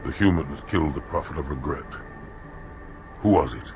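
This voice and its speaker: A man playing a proud, solemn alien warrior in a sci-fi game, speaking gravely and formally with a gravelly voice. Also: a bass voice, animated, normal speed, over music, exaggerated voice. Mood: stern. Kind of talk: cinematic sci-fi game acting.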